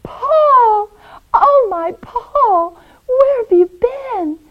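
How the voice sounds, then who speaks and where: A woman's voice: very high voice, animated, drawn-out words, narrow, tinny audio, pitched above the performer's own voice, full character voice. A woman voicing an emotional, lovestruck woman who has long waited, in a children's story. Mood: tearful joy. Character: lovestruck woman, long waiting, breathless with joy.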